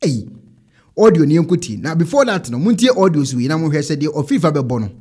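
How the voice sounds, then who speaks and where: Husky Ghanaian man hosting online. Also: fairly high pitch, big pitch swings, fast talker, a little mellow. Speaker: online reaction show host. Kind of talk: casual reaction commentary to camera. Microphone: close desk microphone.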